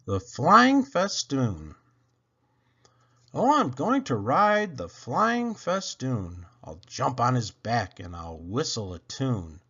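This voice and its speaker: Male storyteller for children's verse: unhurried, very lively pitch swings, theatrical phrasing, slightly dull lo-fi recording. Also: slightly rough. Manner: animated, theatrical, drawn-out phrasing. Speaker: children's poetry reader. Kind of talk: expressive read-aloud of children's verse.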